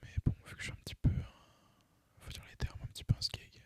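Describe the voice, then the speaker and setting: A young man whispers in a casual, hesitant, unhurried ASMR ramble as a gaming channel host. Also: dry recording. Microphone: microphone recorded through a phone, voice-over on gameplay.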